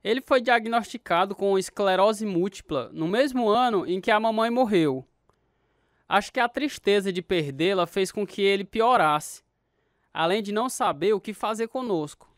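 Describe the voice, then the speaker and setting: An actor with a Brazilian accent playing a grown orphaned brother sadly recounting a hard childhood in a radio drama. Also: male voice, high voice, lively intonation, normal speed, dry recording, clean studio sound. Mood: sorrowful. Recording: guitar music behind the dialogue.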